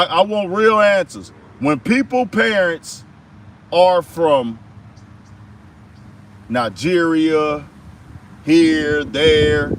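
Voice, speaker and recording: A man's voice: on the high side, a bit bright, a little hiss or hum. An American man delivering an emphatic political commentary monologue in slangy speech, firing off rhetorical questions. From United States. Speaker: commentary host.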